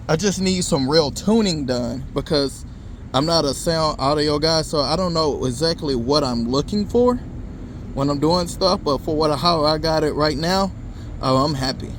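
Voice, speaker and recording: A man talking casually and informally in slangy, swear-sprinkled speech, as a vlogger walking viewers through hands-on work. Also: fairly high pitch. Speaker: car audio vlogger. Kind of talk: casual hands-on install vlog talk. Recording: noisy vehicle and outdoor phone-video sound.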